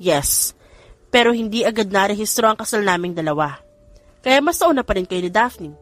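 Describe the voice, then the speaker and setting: A woman's voice: medium pitch, animated, moderate pace, soft background music, lightly acted. Woman voicing a boastful Filipino rich-family son. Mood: explaining. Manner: boastful, self-assured. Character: vain, cheeky adult son in rich family.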